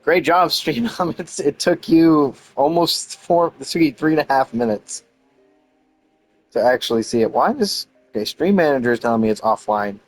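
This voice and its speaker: A man doing casual live-stream game commentary, soft-spoken yet projecting, rambling and thinking aloud. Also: a little high, moderate pace, faint music behind.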